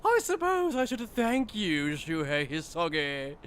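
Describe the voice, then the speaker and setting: An actor playing a sadistic, condescending male aristocrat villain in an anime fan dub, mocking and drawn-out in delivery. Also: on the high side, huge pitch swings, fairly bright tone, slight background noise, heavily acted. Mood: gloating, gleeful.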